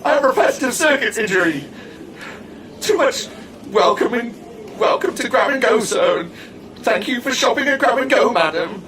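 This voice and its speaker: A woman's voice: on the high side, animated, moderate pace, fairly bright tone. A woman playing a neurotic, aggrieved talking supermarket door in a comedic audio drama, melodramatic and needy, whooshing before speaking. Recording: clearly noisy background.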